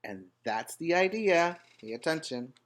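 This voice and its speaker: A man's voice: on the high side, lively intonation, unhurried, a bit bright. A man reading a storybook aloud as a preschool teacher, with simple, instructive asides to the children.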